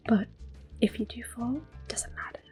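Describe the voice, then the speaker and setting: A woman whispering soft, unhurried commentary as she plays through a video game for an ASMR channel. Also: on the high side, soft background music. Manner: soft, unhurried whisper with occasional soft-spoken words. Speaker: ASMR gameplay host.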